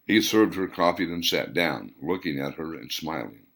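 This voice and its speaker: A man narrates a fiction audiobook in a low voice. His delivery is deliberate and broken into short phrases, with lively, wide-ranging intonation. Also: vocal fry. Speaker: author reading own audiobook.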